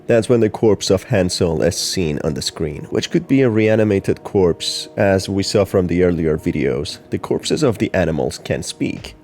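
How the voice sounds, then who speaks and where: A man's voice: sits low, a little mellow, slight background noise. A man reading scripted explainer narration about horror story lore.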